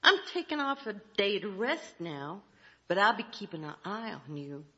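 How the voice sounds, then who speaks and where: A woman's voice: medium pitch, very animated, normal speed, sharp tone. A woman voicing a motherly, plainspoken Southern creator figure from a folk tale, homespun, emphatic and firm. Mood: firm, warning. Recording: dull, lo-fi live recording.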